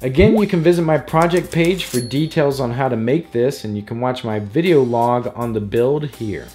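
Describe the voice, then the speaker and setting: A man narrates a scripted how-to tutorial as its host. His voice sits at a medium pitch, and his delivery is casual and explanatory. Music plays behind him. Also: normal speed, a little mellow. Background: background music.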